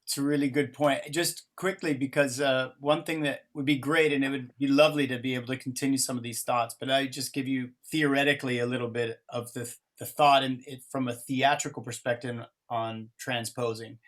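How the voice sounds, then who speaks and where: A man's voice: mid-range voice, fairly even intonation, brisk, few pauses, fairly bright tone. A man speaking casually as a workshop facilitator, explaining things to a group.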